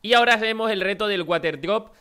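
Fast, bright-voiced Spanish man, nasal. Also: high-pitched, clean tone. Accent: Spanish from Spain. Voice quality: nasal, worn-out voice. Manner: chatty, energetic, talks straight to viewers. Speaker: gaming youtuber. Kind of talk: casual gameplay commentary.

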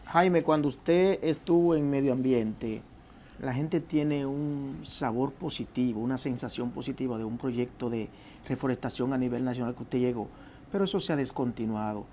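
A man, asking measured questions as a television news interviewer on a lapel mic. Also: medium pitch, mellow, a little hiss or hum. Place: TV studio.

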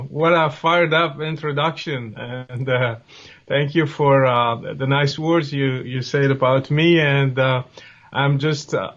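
Man from Morocco, 40s, video-call guest, friendly, hesitant, many fillers, fairly high voice, dull lo-fi audio. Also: fairly bright tone. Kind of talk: interview answers. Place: home office with bookshelves. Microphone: webcam video call.

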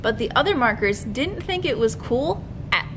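Animated, high-pitched young woman reading to children. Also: moderate pace. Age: young adult. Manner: expressive, sing-song storytelling for young children. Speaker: children's librarian, storytime host. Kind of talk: children's storytime read-aloud. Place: library room with bookshelves. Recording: clearly noisy room recording, low rumble.

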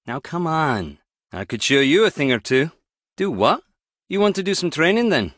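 A cocky young man in an adventure game. His voice is high, bright and forceful, with a very animated swing to it, recorded dry. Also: moderate pace, dry recording. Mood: cocky, teasing. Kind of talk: video game voice acting.

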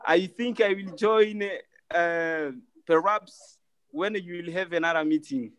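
A man from Congo, a native French speaker speaking English with a Congolese French accent, talking as a climate activist on a video call in a halting, apologetic way and repeating words. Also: high-pitched, a bit bright, smooth, no room sound.

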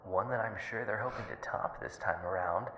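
A man's voice: on the low side, fairly quick, natural voice. Echoey male sports commentator acted by a man. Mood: measured anticipation. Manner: formal, announcer-like. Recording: echoey noisy stadium broadcast feed.

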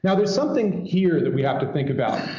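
Male keynote speaker, mellow voice, conversational and digressive, recorded in an echoey hall. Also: on the high side, big pitch swings, brisk. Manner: conversational, digressive, wry asides. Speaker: keynote speaker, academic lecturer. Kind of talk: conference lecture to an audience. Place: indoor lecture hall. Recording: echoey room, dull muffled sound.

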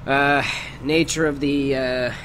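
Over faint noise, a man plays a weary, disgusted cop reading out an evidence log. He is slow and offhand, with hesitant pauses, in audio-drama style. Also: medium pitch, little pitch movement, a bit bright. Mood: reluctant, disgusted. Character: weary, disgusted policeman logging an evidence tape. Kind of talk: halting evidence-log announcement.

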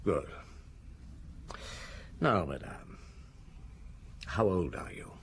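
An actor playing a seasoned, shrewd police chief inspector in a radio drama, with a measured, dryly wry manner. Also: male voice, sits low, expressive, a little hiss or hum, exaggerated voice. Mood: probing. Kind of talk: radio drama acting and first-person narration.

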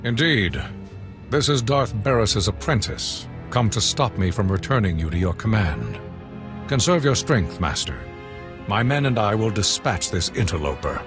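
Young heroic commander for a game: lowish voice, highly animated, confrontational, protective. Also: male voice, moderate pace, over music. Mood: firm, protective. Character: defiant, protective young Jedi apprentice commander. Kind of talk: video game voice acting.

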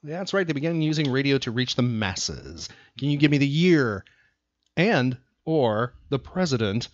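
A man hosting a podcast in casual banter with a co-host, talking in an animated, teasing way and repeating himself for emphasis. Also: medium pitch, unhurried, dry recording, lo-fi sound.

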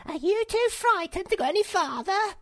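A storybook narrator voicing a brash, jeering young female monkey with an excitable, screechy, bossy way of talking. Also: very high voice, moderate pace, voice pushed up, heavily acted. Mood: teasing, excited.

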